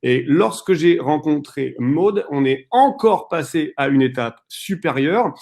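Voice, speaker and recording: A man in his thirties co-hosting an online conference, telling his story in a direct, animated way with frequent fillers. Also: on the high side, unhurried, gravelly. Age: 30s. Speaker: real estate investor co-hosting a webinar.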